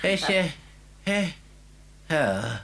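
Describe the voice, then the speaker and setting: An actor playing an excitable, bedridden old grandfather who stutters and repeats words in an audio drama for children. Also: a little high, drawn-out words, vocal fry, heavily acted. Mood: flustered.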